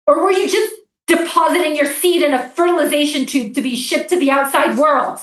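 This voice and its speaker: A woman's voice: high voice, a little fast, natural voice. A woman playing an earnest, insistent American soldier and interrogator in a staged reading of a drama. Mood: desperate, demanding. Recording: roomy, echoey live stage reading.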